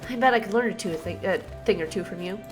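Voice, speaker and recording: A woman voicing a confident young athletic trainer in a video game, earnest, steady and reassuring. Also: mid-range voice, very fast, natural voice. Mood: earnest, encouraging. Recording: game dialogue over music.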